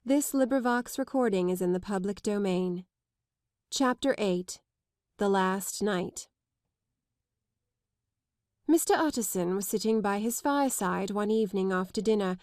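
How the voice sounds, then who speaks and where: A woman's voice: medium pitch, normal speed, long pauses, a little mellow, no echo. Woman narrating a classic novel, dry sound. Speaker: audiobook narrator. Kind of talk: scripted literary narration.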